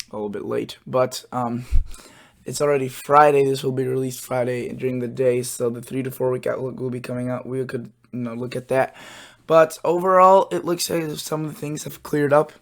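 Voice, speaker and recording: A man hosting his weather channel, his voice a bit hoarse, explaining forecast charts in a casual, halting way with lots of filler words. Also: mid-range voice, fairly even intonation.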